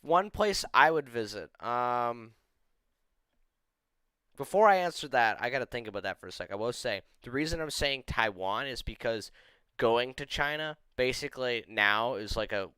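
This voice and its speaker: A man's voice: medium pitch, lively intonation, normal speed, sharp tone, clear, resonant tone, no echo. A young man chatting casually on a stream, rambling with lots of "like" and giving blunt opinions. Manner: rambling, opinionated, heavy use of "like". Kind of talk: casual chat.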